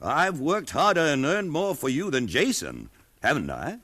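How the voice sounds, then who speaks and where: A man's voice: on the high side, very animated, moderate pace, bright, forceful tone, exaggerated voice. An actor playing a shrewd, deferential enslaved man reasoning persuasively in a 1950s radio drama. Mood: wounded, persuasive. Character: shrewd, placating enslaved man, secretly defiant.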